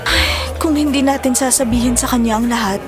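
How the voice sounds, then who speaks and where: Gentle, earnest stepmother-to-be, played by a woman, fairly high voice, audio drama with clear music behind. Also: fairly quick, natural voice. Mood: earnest worry. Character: gentle, apologetic girlfriend longing for stepdaughter's acceptance.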